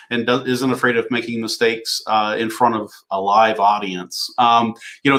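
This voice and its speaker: A man hosting a casual livestream Q&A, talking things through in a relaxed way with plenty of fillers. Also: mid-range voice. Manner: relaxed, thinking aloud with fillers. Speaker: host.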